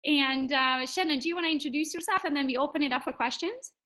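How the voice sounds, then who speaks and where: Brief: Hungarian-accented woman, candid panel speaker, somewhat high voice, quick laughs. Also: pushed and bright. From Transylvania, Romania. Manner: candid, chatty, laughs often. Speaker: real estate agent, panel speaker. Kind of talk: conference panel talk.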